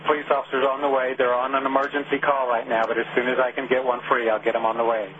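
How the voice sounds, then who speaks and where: A man working as an emergency dispatcher, flat and curt, sounding annoyed. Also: medium pitch, fast talker. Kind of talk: recorded emergency call. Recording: dull phone-call recording with noise.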